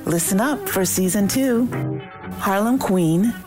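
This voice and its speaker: A woman's voice: medium pitch, animated, slow. A woman hosting and narrating a scripted promo for a drama series. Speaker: host and trailer narrator. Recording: music bed under the voice.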